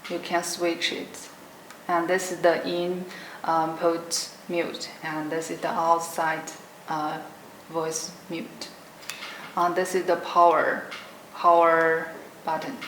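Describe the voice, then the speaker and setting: A young woman giving an unscripted product demonstration in short, simple, halting phrases. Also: on the low side, fairly bright tone. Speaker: product demonstrator for an electronics brand. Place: indoor room at a table with green backdrop. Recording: roomy echo, clearly noisy background.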